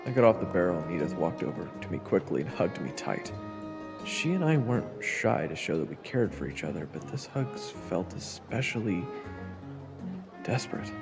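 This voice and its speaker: A man acting as a young swordsman, glum and wry, narrating a fantasy audio story. The deep voice is gravelly yet expressive in pitch. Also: moderate pace, music in the background. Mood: glum, reflective. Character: glum, wry young male swordsman telling his tale. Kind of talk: first-person scripted narration and audio roleplay acting.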